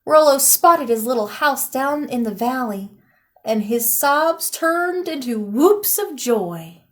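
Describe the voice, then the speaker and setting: A woman teacher reading a children's picture book aloud in an expressive, animated storytelling manner. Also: sits high, takes it slowly.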